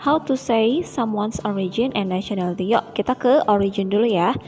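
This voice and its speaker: A young woman teaches English to primary school children in a video lesson, saying each example sentence slowly and repeating it. Her voice sits at a middle pitch. Music plays clearly behind her the whole time. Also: darkish tone. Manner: slow, careful repetition of example sentences. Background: background music.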